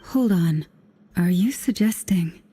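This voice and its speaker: An actress voicing a thoughtful, serene adult woman in a video game, speaking slowly and in a measured, hushed way. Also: lowish voice, expressive, breathy edge, full character voice. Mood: contemplative.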